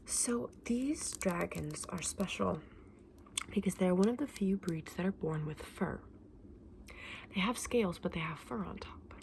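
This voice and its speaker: Soft, whispery woman with a creaky low voice. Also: expressive, a little hiss or hum. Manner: gentle, unhurried, informal explaining. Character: gentle, chatty woman selling dragon eggs. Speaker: ASMR roleplayer playing a shopkeeper. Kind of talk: soft-spoken ASMR roleplay, often whispered.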